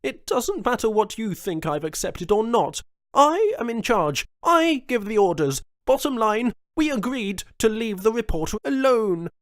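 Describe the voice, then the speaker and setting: A man's voice: medium pitch, fairly even intonation, measured pace, no room sound, studio quality, lightly acted. A man voicing a furious, commanding female colony governor who leads pirates. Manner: forceful, commanding.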